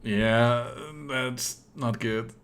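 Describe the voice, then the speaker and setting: A man's voice: medium pitch, little pitch movement, drawn-out words, a bit bright, a little hiss or hum. A man whose native language is Spanish, giving sardonic, exasperated live commentary as he streams a game. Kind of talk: live let's play commentary.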